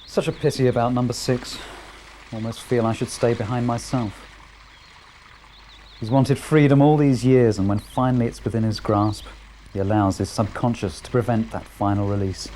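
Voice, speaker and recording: An actor playing a weary male overseer of the village, speaking in a measured, wry and quietly regretful way. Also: mid-range voice, fairly even intonation, slight background noise, lightly acted. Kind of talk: fan audio drama acting.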